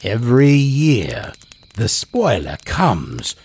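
A man's voice: mid-range voice, wildly swooping pitch, takes it slowly, creaky, darkish tone, breathy edge. A man speaking as a podcast host and storyteller, delivering scripted horror narration in a dramatic, theatrical way.

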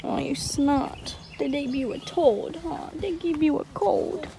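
A woman's voice: high-pitched, a little slow. A young woman vlogging from a pontoon boat on a lake, talking into a phone at arm's length and slipping into sing-song baby talk with her infant. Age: young adult. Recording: loud wind and boat noise.

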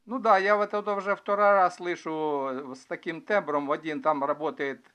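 A man chatting casually as an amateur radio operator in a halting, hesitant way, recorded indoors at his desk. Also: a little high, fairly even intonation, a bit bright, smooth. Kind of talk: casual ham radio chat, asking questions.

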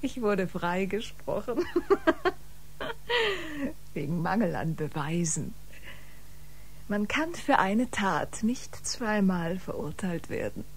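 An unhinged, jealous woman in a sci-fi radio drama. Her husky voice swings widely in pitch and halts theatrically, sinking into whispers. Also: sing-song, exaggerated voice. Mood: mocking. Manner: theatrical, halting, lapsing into whispers. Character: unhinged, jealous woman bio-musician, confessed killer. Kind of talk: science-fiction radio drama acting.

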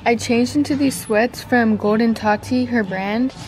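A woman's voice: a little high, fairly even intonation, measured pace, over music, low-quality recording. Chatty young woman vlogging on her phone. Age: young adult. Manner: chatty, crude and playful. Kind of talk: casual vlog chat. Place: car, thrift store and gym. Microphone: handheld phone camera.

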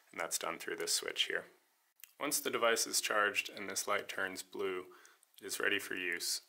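Calm gravelly man explaining a device. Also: deep voice. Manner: calm, methodical step-by-step explaining. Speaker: maker demonstrating his device. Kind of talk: voice-over product demo.

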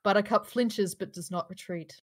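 Woman narrating with mid-pitched voice. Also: moderate pace. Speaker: narrator reading stage directions. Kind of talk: scripted narration at a live table read. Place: indoors, on a group video call.

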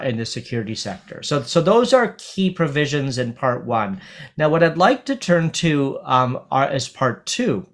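A middle-aged man speaking on a video call as a panel speaker, talking through a legal text in a halting way with many fillers and restarts. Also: medium pitch. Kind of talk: academic panel talk. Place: home office on video call. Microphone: webcam on video call.

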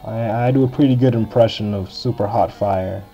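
A young man with a deep voice giving casual, rambling commentary while playing through a retro video game. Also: moderate pace, mellow. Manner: chatty, rambling, filler-heavy. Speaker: game walkthrough host. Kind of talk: casual let's-play commentary. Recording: dull lo-fi game recording. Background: faint game music.

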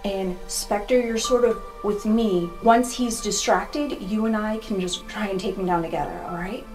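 A woman's voice: medium pitch, unhurried, a little mellow, far from the mic, soft background music, low-quality recording. Woman playing a tabletop game. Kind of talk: casual in-character planning.

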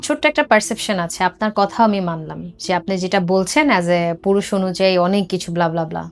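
A woman's voice: lowish voice, moderate pace. A woman with a Bangladeshi accent asking conversational questions as an interviewer, mixing in English words. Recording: music playing behind the voice. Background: background music.

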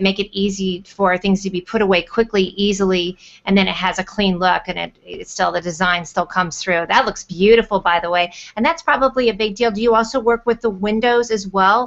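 A woman's voice: medium pitch, a bit bright. Woman hosting over muffled video call. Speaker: host. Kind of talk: online show interview hosting. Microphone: computer mic on a video call. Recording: dull, lo-fi video call audio.

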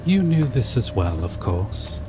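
A man narrating a scripted second-person story in an ASMR roleplay, deliberately, with dramatic pauses. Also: mid-range voice, music in the background. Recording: loud steady background noise.

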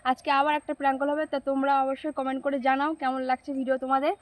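A woman host opening a prank call video, addressing viewers in a brisk, chatty way. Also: sits high, level tone, noisy background. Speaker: channel host.

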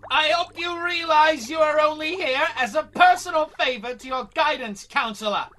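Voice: sits high, normal speed, a bit bright, a little hiss or hum. A performer playing an irritable, condescending physics professor in a radio comedy drama. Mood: exasperated. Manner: stern, exasperated, condescending. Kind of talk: audio drama acting.

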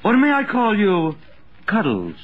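Comic tavern helper, high voice, wildly swinging intonation, formal broken English, old radio comedy. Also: male voice, wildly swooping pitch, a little slow, full character voice. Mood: sly, deadpan. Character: slow-talking foreign comic helper at a tavern. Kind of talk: 1950s radio comedy acting. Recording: noisy muffled 1950s radio recording.

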